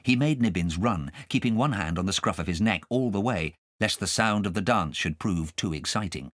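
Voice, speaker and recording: A man reading a children's story aloud as an expressive audiobook narrator. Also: low-pitched, normal speed, no room sound, clean studio sound.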